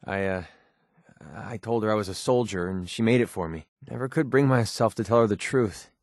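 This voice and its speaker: An actor playing a guarded, wry and short-tempered young mercenary with a tender side in a video game, speaking informally. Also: male voice, on the low side, a little mellow, no echo, clean studio sound, natural voice. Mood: hesitant, sorrowful, tender. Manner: informal, halting when emotional. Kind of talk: scripted video game character dialogue.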